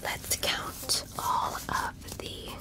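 Woman playing a slow, whispering alien doctor. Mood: gentle, curious. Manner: gentle, slow, methodical questioning. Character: curious, polite female alien doctor examining a human. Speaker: ASMR roleplayer. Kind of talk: soft whispered ASMR medical roleplay.

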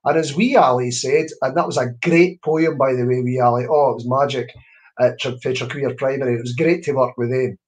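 A man's voice: mid-range voice, steady tone, a little fast. Chatty Scottish man on a webcam. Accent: Scottish, Scots-speaking. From Scotland. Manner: chatty and encouraging, drops in Scots words. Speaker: children's author and poet. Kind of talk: online workshop talk to schoolchildren. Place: room at home. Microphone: webcam on a video call.

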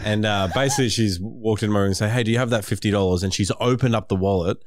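A man's voice: fairly low pitch, steady tone, quick pace, heavy background noise. A young Australian man telling stories and bantering casually as a regular on a comedy podcast. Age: young adult. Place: small podcast studio indoors. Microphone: boom-arm podcast microphone.

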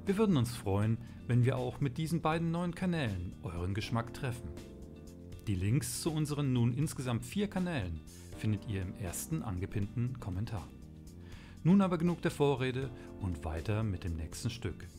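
A man's voice: mid-range voice, big pitch swings, moderate pace. A man hosting an audio drama channel, giving a friendly, formal scripted announcement to listeners. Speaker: channel host. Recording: voice over loud music.

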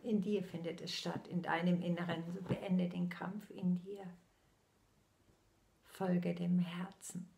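Slow, gravelly older woman medium. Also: on the low side, little pitch movement, slight background noise. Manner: slow, solemn, eyes closed, drifting into soft whispers. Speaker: spiritual medium. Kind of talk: channeled spiritual message. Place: small indoor room at home.

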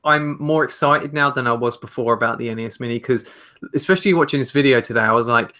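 A man's voice: mid-range voice, fast, pushed and bright. A British man in his twenties co-hosting a casual gaming chat, talking with enthusiasm and emphasis. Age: 20s. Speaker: podcast co-host. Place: home room, indoors. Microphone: headset on, video call.

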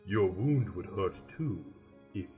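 A man playing a stern but courteous grim reaper in a radio play, speaking formally and deliberately. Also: sits low, expressive, warm tone, faint music behind. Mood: patient. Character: stern, courteous Death, grave male reaper.

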